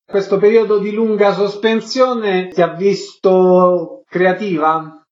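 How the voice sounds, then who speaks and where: A male radio host with a fairly high voice. He puts prepared questions and announcements to listeners and guests in an orderly interview style. The audio is a little dull and lo-fi. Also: level tone, slow. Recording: slightly dull lo-fi radio sound.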